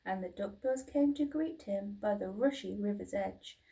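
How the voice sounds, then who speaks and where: A woman's voice: mid-range voice, moderate pace, gravelly, mellow. A woman reading a children's storybook expressively, like a teacher, recorded at a distance from the mic.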